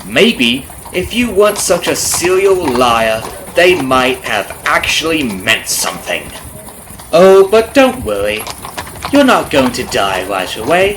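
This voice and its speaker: Vengeful male carriage driver, acted by a man, ASMR roleplay. Slightly high, very lively pitch; falsely friendly, taunting, menacing underneath. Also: slow, noisy background, exaggerated voice. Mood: cold, vengeful. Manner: taunting, conversational with rhetorical tag questions. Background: clip-clopping horse and carriage ambience.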